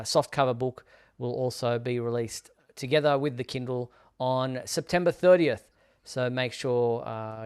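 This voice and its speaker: A man with a mid-pitched voice hosts a live show. He makes announcements casually, in short, halting phrases with pauses between them. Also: a little mellow, smooth.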